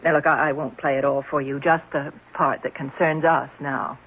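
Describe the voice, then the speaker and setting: A performer voicing a brisk, businesslike woman who runs a children's home, in a 1970s radio drama. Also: a little high, little pitch movement, breathy edge. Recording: muffled, faintly noisy old radio recording.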